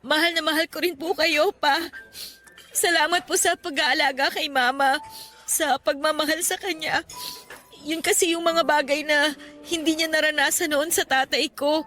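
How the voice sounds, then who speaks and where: A woman's voice: sits high, steady tone, normal speed, bright, forceful tone. A woman playing an affectionate, respectful young adult daughter in a radio drama, with a Filipino accent. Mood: grateful, emotional. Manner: respectful, earnest, heartfelt. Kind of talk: radio drama acting in Tagalog. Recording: music bed behind the voice.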